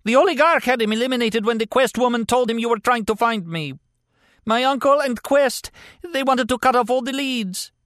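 Shrill, agonized wounded man. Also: normal speed, fairly bright tone, dry recording, studio quality. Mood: agonized, pleading. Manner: strained, agonized pleading. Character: frantic wounded foreign man, pleading in agony.

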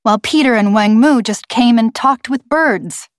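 A woman voicing an earnest, quick-witted, defiant girl in an audiobook, speaking in an assertive, argumentative way. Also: on the high side, dry recording, studio quality, pitched above the performer's own voice, close to an everyday voice. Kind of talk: audiobook character voice.

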